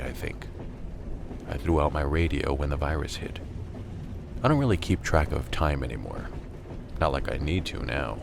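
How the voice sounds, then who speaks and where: A man acting as a jaded scavenger narrating his life in a broken world. His voice sits extremely low, with a gravelly fry. He tells the radio drama story in a wry, world-weary, matter-of-fact way. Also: noisy background, heavily acted. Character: jaded male scavenger in a silent post-virus America, narrating.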